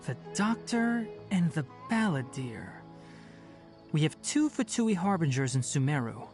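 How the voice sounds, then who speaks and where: A man voicing a composed young forest scholar in a video game, speaking in an articulate, measured, formal way. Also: on the high side, big pitch swings, darkish tone. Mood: serious, wry. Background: game music.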